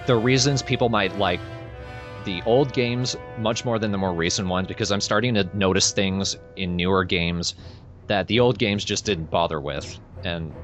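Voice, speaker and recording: A man with a low voice talks through a video game as host. His delivery is dry, casual and sweary, aimed at a co-host as much as the viewers. Game music plays behind him. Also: fairly bright tone. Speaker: let's play host. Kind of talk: casual gameplay commentary with a co-host. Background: video game soundtrack.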